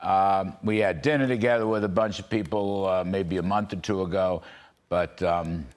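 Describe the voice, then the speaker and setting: A man's voice: deep voice, fairly even intonation. A man hosting a political talk show, chatting casually with a guest, with lots of "um"s, "I mean"s and restarts. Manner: halting, many fillers and restarts. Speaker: TV show host.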